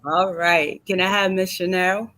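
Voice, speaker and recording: Host, woman, chatty and upbeat, a touch low. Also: fairly even intonation, a little slow, pushed and bright. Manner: upbeat, chatty, uses y'all. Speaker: host of an online book tour. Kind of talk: casual interview.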